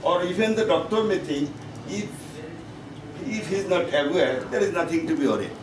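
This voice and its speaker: A man with a Bangladeshi accent giving a medical conference lecture as a professor, in halting, repetitive, emphatic phrases. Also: fairly high pitch, expressive, crowd noise. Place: conference meeting room. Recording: echoey, noisy room, distant microphone.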